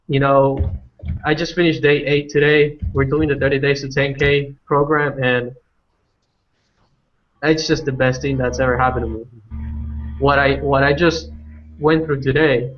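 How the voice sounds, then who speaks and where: A young man from Colombia, telling his own story on a panel and restarting his sentences often. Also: mid-range voice. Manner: halting, many restarts and fillers. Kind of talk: personal story on a webinar. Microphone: video call. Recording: dull lo-fi video call audio.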